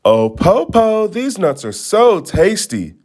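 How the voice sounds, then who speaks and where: Man putting on a bright-witted little girl, high lilting pitch. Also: big pitch swings, slow pace, exaggerated voice. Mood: sweetly tempting. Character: clever young girl, eldest sister, questioning and bold.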